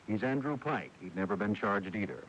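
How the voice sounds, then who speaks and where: A man's voice: fairly low pitch, expressive, brisk, fairly bright tone. An American man reading a scripted network radio news bulletin as anchor. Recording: dull, hissy 1980s radio broadcast recording.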